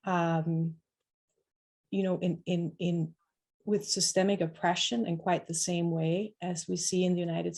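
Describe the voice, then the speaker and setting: A woman speaks as a panelist in an online discussion, her voice sitting a little low. She talks reflectively and often circles back to restart a thought as she works it out. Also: darkish tone. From Denmark. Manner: reflective, searching for words with many restarts.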